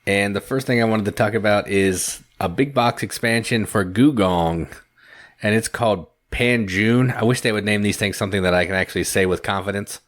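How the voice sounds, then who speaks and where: A man's voice: on the low side, moderate pace. A man co-hosting a board game podcast, casually running through the news in an easygoing, step-by-step way. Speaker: podcast co-host. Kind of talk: casual chat, news rundown.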